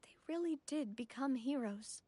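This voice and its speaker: Earnest young woman leader, highish voice. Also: lively intonation, dark tone. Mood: solemn, earnest. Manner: polite, sincere, deliberate. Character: earnest, dignified young female leader of a local organization. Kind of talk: video game voice acting.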